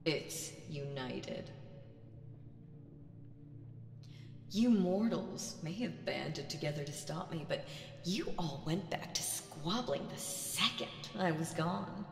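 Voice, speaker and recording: A woman acts out a seductive demon queen villainess for an audio roleplay, in a fairly low voice. She speaks slowly and theatrically, commanding the listener with teasing menace. Soft music plays faintly behind her. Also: animated, creaky, exaggerated voice. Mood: proud, persuasive.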